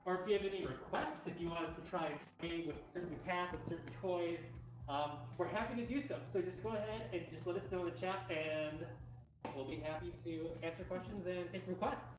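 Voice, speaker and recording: A woman's voice: fairly low pitch, fairly quick. A woman co-hosting a livestream, talking casually to viewers. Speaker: cat cafe livestream co-host. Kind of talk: casual live chat. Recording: echoey room, faint music behind.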